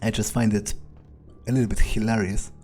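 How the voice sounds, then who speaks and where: A man playing a wary, crude, sardonic vampire in an improvised video game roleplay, muttering his thoughts with hesitant pauses. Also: on the low side, flat delivery, darkish tone, natural voice. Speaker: gamer playing in character.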